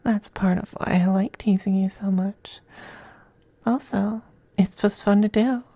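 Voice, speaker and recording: Male actor as femboy vampire, possessive and doting, mellow breathy half-whisper, very unhurried, close dry ASMR sound. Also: sits high, fairly even intonation, no echo. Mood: teasing. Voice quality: soft, breathy, often whispering. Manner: slow, coaxing, condescendingly affectionate. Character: possessive, teasing, doting femboy vampire master styled as mistress. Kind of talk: intimate ASMR roleplay.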